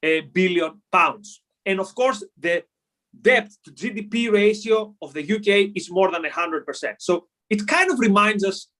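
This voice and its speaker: A man with a Greek accent, originally from Greece, hosting a podcast and explaining an economics topic in an animated way, often pausing on fillers and restarting his sentences. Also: sits high. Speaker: podcast host. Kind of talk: solo explainer talk.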